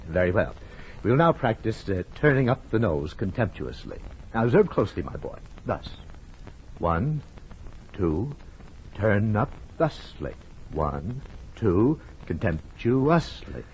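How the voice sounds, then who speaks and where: Low-voiced, solemn, doting father. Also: sing-song, darkish tone. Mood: instructing. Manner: pompous, deliberate, instructing. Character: solemn, doting father coaching his son. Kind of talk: 1940s radio comedy acting. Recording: muffled, noisy 1940s radio recording.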